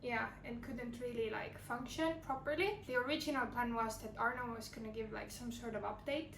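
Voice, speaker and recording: A woman's voice: a little high, steady tone, fairly quick, creaky. A young woman from Estonia vlogging in a casual, rambling way, full of fillers and self-corrections, speaking English as a second language. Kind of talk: casual vlog chat. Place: cafe. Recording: echoey with loud background noise.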